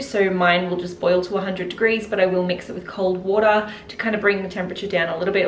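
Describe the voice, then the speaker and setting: A woman chatting casually to camera as a health vlogger, recorded indoors at home. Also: medium pitch. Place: indoors in a home kitchen and living room. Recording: roomy echo with clear background noise.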